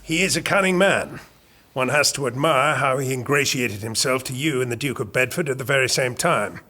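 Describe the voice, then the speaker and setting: An actor playing a calculating royal chamberlain in an audio drama, speaking in a formal, measured, persuasive way. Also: male voice, medium pitch, bright, forceful tone. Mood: admiring, reporting. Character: calculating, smooth-talking royal chamberlain and courtly schemer.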